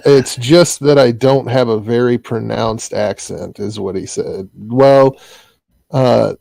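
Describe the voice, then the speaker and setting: A man's voice: mid-range voice, steady tone, gravelly, dark tone, dry recording, poor audio. A man with an Iowa accent, an interview guest chatting casually, with frequent restarts. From Iowa, US Midwest. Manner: halting, restarts often. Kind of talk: casual chat.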